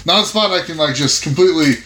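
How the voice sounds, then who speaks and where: Higher-voiced man thinking aloud at game table. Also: bright, forceful tone. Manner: halting, repeats phrases while thinking aloud. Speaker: player in a tabletop roleplaying game. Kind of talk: casual game-table chat, improvising in character.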